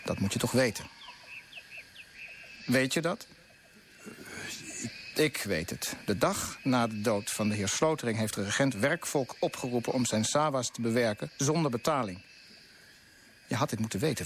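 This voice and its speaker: A man's voice: medium pitch, slight background noise, close to an everyday voice. A man acting a righteous, idealistic colonial official in a radio drama, speaking in an earnest, insistent, declamatory way. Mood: grave, confiding. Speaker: actor in a radio play.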